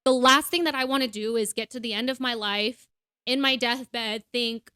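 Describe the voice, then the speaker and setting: A woman's voice: on the high side, fairly even intonation, moderate pace, bright, forceful tone, clear, resonant tone, no room sound, clean studio sound. A young woman co-hosting a podcast, chatting in a bubbly, casual way with lots of fillers. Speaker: podcast co-host. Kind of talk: casual chat.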